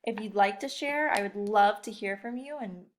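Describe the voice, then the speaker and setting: A woman's voice: medium pitch, normal speed, slight background noise. Encouraging young woman teaching children. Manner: encouraging, addresses listeners directly with questions. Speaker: teacher leading a read-aloud lesson. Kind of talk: casual, chatty explanation to children.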